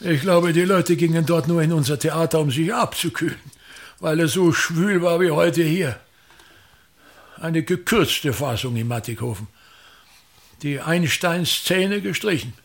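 An actor playing a pompous aging theatre director in a radio drama, speaking in a grandiloquent, complaining monologue. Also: male voice, fairly high pitch, measured pace.

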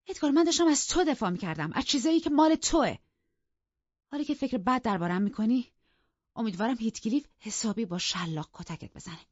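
Fiery, scornful young wife, high-pitched, acted by a woman. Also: animated, darkish tone, slightly rough, dry recording, muffled recording. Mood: furious, reproachful. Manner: heated, reproachful, taunting.

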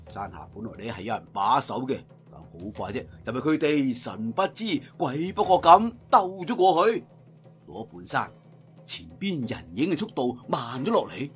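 A male storyteller with a Cantonese accent delivers dramatic martial-arts narration in a mid-pitched voice. His delivery is theatrical and very animated, leaning on the ends of sentences, with music behind him. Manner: theatrical, emphatic sentence endings.

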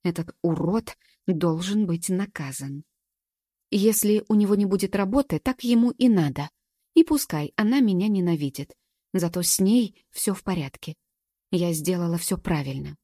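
A furious young witch speaks with hard, indignant force, read by a female narrator. Her tone is mellow and low, recorded very dry and close. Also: normal speed, no echo, lightly acted. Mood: insistent.

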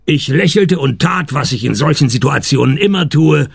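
An actor playing a dry, sardonic male private detective in a radio play, with a creaky voice and wry delivery. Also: on the high side, normal speed, no echo, heavily acted. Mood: deadpan narration. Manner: deadpan, wry. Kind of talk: scripted radio detective narration and dialogue.

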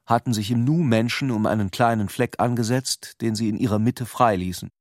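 A man's voice: medium pitch, a little fast, dry recording, studio quality. A man narrating literary prose as part of a radio play, reading from a script.

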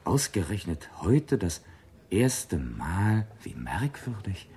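An actor playing a polite, inquisitive gentleman diner in a radio drama, husky and hesitant in his way of talking. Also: deep voice, big pitch swings, slow pace, heavily acted. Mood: puzzled. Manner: hesitant, murmuring assent. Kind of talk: German radio drama acting.

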